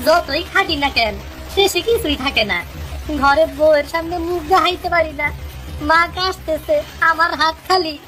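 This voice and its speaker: A woman's voice: very high voice, moderate pace, sharp tone, faint music behind, poor audio. A woman voicing a frustrated young fisherman in a cartoon story, plaintive and complaining, with a rural Bangladeshi Bengali accent. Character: frustrated poor young fisherman, pleading and torn.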